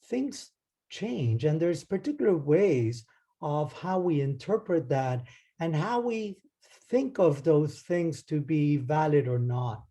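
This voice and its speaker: A man from Argentina gives an academic talk over a video call. His voice is warm and mellow, pitched slightly high, and he speaks in a relaxed, hesitant way, often restarting phrases. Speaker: guest lecturer. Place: home or office, speaking into a computer.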